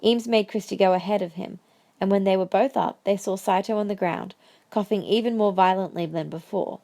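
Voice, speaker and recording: A woman's voice: medium pitch, fairly quick, creaky, warm tone, no room sound. A woman reading scripted story narration for an audio drama.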